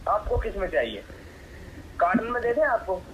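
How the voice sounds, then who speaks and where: A man's voice: high voice, very animated, moderate pace. Exasperated Pakistani man on a noisy phone line. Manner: exasperated, sarcastic. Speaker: medicine supplier taking a phone order. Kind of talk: phone conversation.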